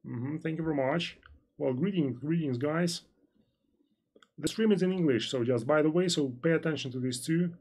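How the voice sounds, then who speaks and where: Rambling male trading analyst, slightly high voice. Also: moderate pace, a little mellow, husky. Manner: loose and rambling, full of "so" and "well" fillers and restarts. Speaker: financial analyst hosting a live stream. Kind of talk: live-stream trading lesson, reading out viewer chat.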